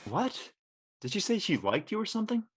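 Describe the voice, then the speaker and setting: Man playing a husky-voiced prying teenage boy. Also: high voice, wildly swooping pitch, fairly quick, full character voice. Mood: prying, incredulous. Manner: slangy. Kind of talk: casual scripted drama dialogue.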